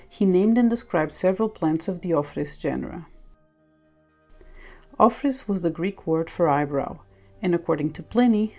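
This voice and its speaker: Low, creaky-voiced woman narrating. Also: warm tone. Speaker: presenter. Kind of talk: scripted educational narration. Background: music.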